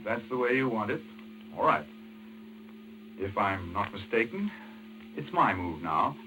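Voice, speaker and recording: Hero role: resolute, commanding, clipped delivery, very sharp bright tone, lively pitch, old radio style. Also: male voice, unhurried, soft background music, exaggerated voice, poor audio. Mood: grimly determined. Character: resolute heroic man confronting a killer, commanding. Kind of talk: 1940s radio acting. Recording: vintage radio, faint background noise.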